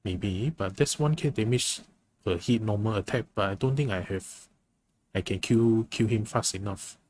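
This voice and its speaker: A man giving casual live game commentary as a streamer, halting and often rephrasing himself. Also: on the low side, a little mellow, a little hiss or hum. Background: game music.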